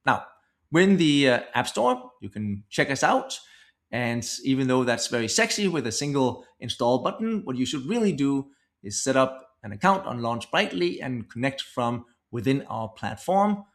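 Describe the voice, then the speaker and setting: A man giving a conversational software product demo, explaining informally step by step. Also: medium pitch, big pitch swings, normal speed.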